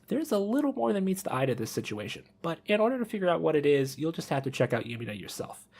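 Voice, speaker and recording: Male podcast co-host, animated intonation, gravelly and slightly husky, playful reviewer delivery. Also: a little high. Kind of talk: casual podcast talk and prepared review.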